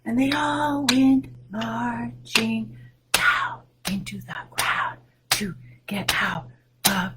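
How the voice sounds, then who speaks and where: A woman in her fifties, a children's librarian leading a storytime at home, reading and singing with animated, clear delivery over a webcam. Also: a little high, very noisy. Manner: animated, sing-song, slow and clear. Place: home room with bookshelves. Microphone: webcam on a video call.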